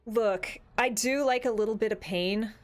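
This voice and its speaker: High-voiced woman chatting on a livestream. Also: moderate pace, faint music behind. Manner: chatty, informal, self-interrupting. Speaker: host of a tabletop role-playing talk stream. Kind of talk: casual livestream chat.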